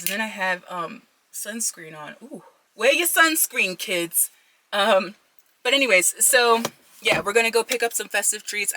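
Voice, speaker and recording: A woman's voice: medium pitch, background noise. A woman in her thirties talking casually to camera as a vlogger, chatting to her viewers as folks and y'all. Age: 30s. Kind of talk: casual vlog chat to camera. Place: home kitchen, then a car.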